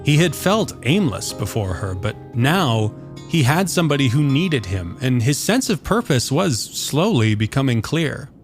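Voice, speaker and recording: A man's voice: mid-range voice, big pitch swings, unhurried. A man reading scripted fiction as the narrator of a story. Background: soft guitar music.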